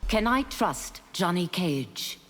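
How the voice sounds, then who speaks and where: A woman's voice: on the low side, sing-song, slow pace, exaggerated voice. An actress playing an earnest, solemn warrior woman in a fighting game, speaking formally. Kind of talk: fighting game character banter. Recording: loud background noise, long reverb.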